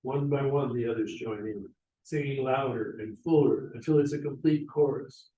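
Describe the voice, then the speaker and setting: A man's voice: mid-range voice, moderate pace, warm tone, highs cut off. Echoey, distant man reading stage directions. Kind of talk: scripted narration at a play table read. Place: echoey indoor room, away from the mic.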